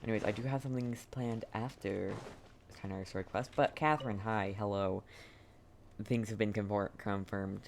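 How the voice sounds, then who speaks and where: A woman's voice: rumbling low, fairly even intonation, normal speed, a little hiss or hum. A woman giving casual live game commentary as a streamer, laid-back and often reacting in brief murmurs.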